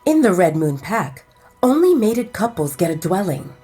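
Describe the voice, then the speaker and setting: A woman narrator voicing young werewolf men in a lowered voice. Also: sing-song, normal speed, mellow, husky, soft background music. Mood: grumbling, matter-of-fact.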